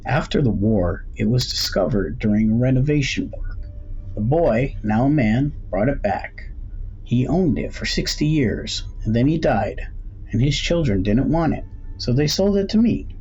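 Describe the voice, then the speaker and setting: A middle-aged male shopkeeper from an eerie storybook, as voiced by a male narrator, welcoming and cryptic. His measured speech swings widely in pitch, with an unsettling pleasantness. The recording is dull, with faint noise behind it. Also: lively intonation, a little mellow. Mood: musing, storytelling. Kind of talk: storybook character voice in suspense tale.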